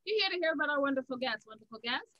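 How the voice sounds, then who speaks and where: A woman's voice: sits high, lively intonation, rapid-fire, no room sound. A woman co-hosting a podcast in casual, joking banter, chipping in with quips, on slightly muffled audio. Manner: joking, interjecting. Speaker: podcast co-host.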